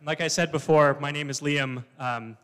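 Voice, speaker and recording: A man's voice: fairly high pitch, normal speed, some chatter behind, slight background noise. Young man speaking in a hall. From Wisconsin, US. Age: 20s. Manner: relaxed, unscripted, with fillers and self-corrections. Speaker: choir staff member introducing a song. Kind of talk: spoken introduction at a choir concert-lecture. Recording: reverberant hall.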